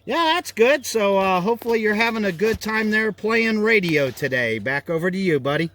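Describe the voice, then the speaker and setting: A man in his fifties with an American accent and a fairly high voice. He talks in a chatty, folksy way, like a ham radio operator trading friendly small talk over the air. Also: lively intonation, normal speed, background noise. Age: 50s. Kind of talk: casual ham radio conversation. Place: outdoors on a mountain trail.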